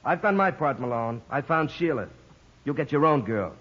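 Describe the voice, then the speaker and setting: An American actor playing a needling, world-weary police detective with a husky voice and theatrical sarcasm in an old radio crime drama. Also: male voice, a little high, expressive, normal speed, heavy background noise, natural voice. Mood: sarcastic, stubborn. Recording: muffled old radio recording.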